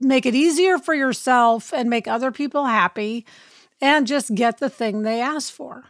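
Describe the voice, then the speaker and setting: Raspy-voiced woman chatting on a podcast. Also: high voice, normal speed, no echo, studio quality. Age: adult with a child. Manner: chatty, acts out quoted dialogue. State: raspy voice from illness. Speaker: podcast co-host. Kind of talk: casual chat.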